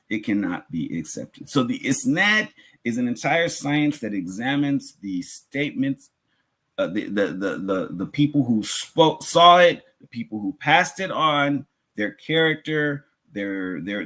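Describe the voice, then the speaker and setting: An American man giving a religious lecture as a teacher, speaking deliberately and repeating words and phrases for emphasis, with a slightly husky voice. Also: medium pitch, big pitch swings, a bit bright. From United States.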